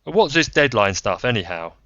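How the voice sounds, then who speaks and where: A man's voice: mid-range voice, very animated, normal speed, dry recording, muffled recording, pitched above the performer's own voice. A man playing an irascible, demanding colonel. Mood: impatient. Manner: demanding, loud, indignant. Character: irascible male colonel, impatient and accusing.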